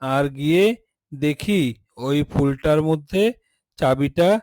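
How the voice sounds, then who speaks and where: A man's voice: on the high side, slow, a little mellow, no echo. A man playing a protective, devoted woodcutter father in a cartoon fairy tale, addressing his children in a declamatory way. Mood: bold, protective.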